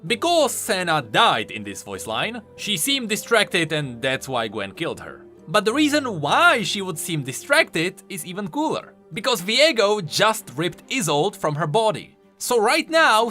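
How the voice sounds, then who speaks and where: Guy doing game lore commentary, bright forceful voice, super animated and emphatic, bit of music behind. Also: high-pitched, normal speed, hardly any pauses. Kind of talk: explanatory commentary voice-over. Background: faint music.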